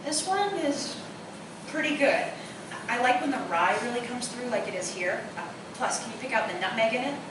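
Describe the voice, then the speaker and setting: A woman's voice: on the high side, lively intonation, normal speed, lightly acted. A woman playing a witty, opinionated single woman who loves bourbon, in live radio-play dialogue. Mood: savoring, explaining. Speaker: stage actor. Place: live theatre performance space. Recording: echoey, noisy live recording from far off.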